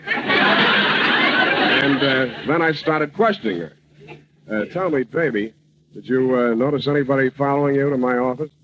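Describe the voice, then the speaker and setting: An actor playing a cocky, wisecracking hard-boiled private eye, narrating haltingly and deadpan in a radio parody. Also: male voice, medium pitch, crowd noise, natural voice. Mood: smooth-talking. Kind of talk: old-time radio detective parody. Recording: muffled, noisy old radio broadcast.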